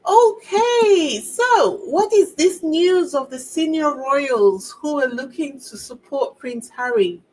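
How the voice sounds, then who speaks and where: Brief: female channel host, unhurried delivery, higher voice, chatty and wry. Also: lively intonation, a little mellow, poor audio. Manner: chatty, sarcastic asides with laughs. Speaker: royal news commentator. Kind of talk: casual chat to viewers.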